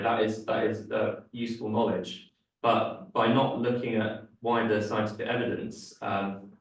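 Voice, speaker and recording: A young man delivers a seminar talk in a low, flat voice, stopping often on fillers and restarts. His voice is a little worn, as if he is losing it. He sounds far from the mic in a very echoey room. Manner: hesitant, many fillers and restarts. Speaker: guest speaker. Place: lecture room.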